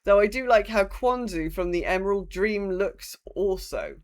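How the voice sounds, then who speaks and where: A woman's voice: mid-range voice, moderate pace. A woman co-hosting a podcast, chatting casually and reading out listener messages. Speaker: podcast co-host. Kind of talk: casual chat.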